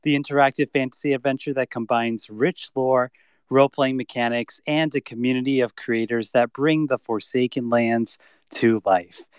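A man's voice: mid-range voice, smooth, dry recording. A man hosting a podcast, opening with an upbeat scripted intro and then chatting casually. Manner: upbeat announcer delivery in the intro, relaxed after. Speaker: host.

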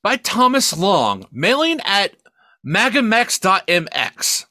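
A man's voice: sits high, huge pitch swings, takes it slowly, pushed and bright, dry recording, clean studio sound. A man co-hosting a comedy podcast, reading spam emails theatrically and breaking into sweary, casual asides. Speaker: podcast co-host.

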